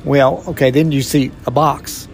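A man's voice: mid-range voice, fairly even intonation, unhurried, gravelly, slight background noise. A man in his sixties answers interview questions, reflective and heartfelt, with a mid-range voice. He speaks plainly, sometimes restarting a thought as he searches for the right words. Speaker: mission trip volunteer. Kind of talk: podcast interview.

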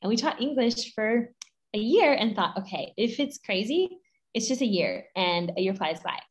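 A woman's voice: a little high, animated, moderate pace. Bubbly woman who laughs a lot. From Guatemala and Mexico. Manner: bubbly, laughs often, rambling asides. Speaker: podcast guest. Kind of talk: casual interview chat.

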